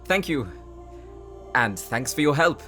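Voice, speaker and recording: An affable, evasive dad played by a man in an anime audio drama, with a medium-pitched voice. He is polite and placating, with hesitant phrasing and faint music at times. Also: lively intonation, takes it slowly, fairly bright tone. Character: affable, evasive young father and weapon maker. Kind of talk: anime audio roleplay acting.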